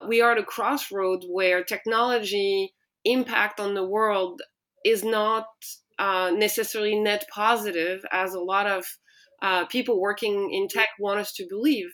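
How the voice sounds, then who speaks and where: French-accented woman with mid-range pitch. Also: fairly even intonation, a little slow, fairly bright tone. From France. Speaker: tech entrepreneur, guest. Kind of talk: interview answer.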